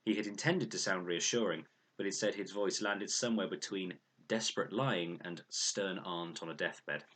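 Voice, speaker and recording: A man with a deep voice narrates a children's book. His tone is husky and breathy, and he reads expressively as a storyteller. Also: normal speed, fairly bright tone. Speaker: storyteller reading aloud.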